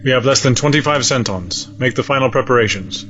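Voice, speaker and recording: A man playing a cold, resolute alien space-fleet admiral in a sci-fi audio drama, speaking in formal, measured, stiff diction. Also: mid-range voice, highs cut off, music in the background, natural voice. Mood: urgent, controlled.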